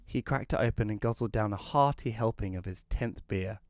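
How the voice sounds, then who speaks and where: Male actor as downbeat thirties widower, audio drama narrator. Lowish pitch, wry self-mockery, very dry acoustic. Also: moderate pace, no room sound, lightly acted. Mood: wry, reflective. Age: 30s. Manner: dry, deadpan, self-deprecating. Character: glum thirty-year-old widowed man, self-deprecating agony-aunt columnist. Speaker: narrator and lead character. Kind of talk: first-person scripted narration in audio drama.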